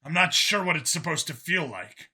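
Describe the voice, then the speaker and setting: A man voicing a jaded, emotionally guarded male cop who talks bluntly and haltingly, questioning himself, in an audiobook story. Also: a little high, lively intonation, pushed and bright, husky, exaggerated voice. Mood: troubled, unsure.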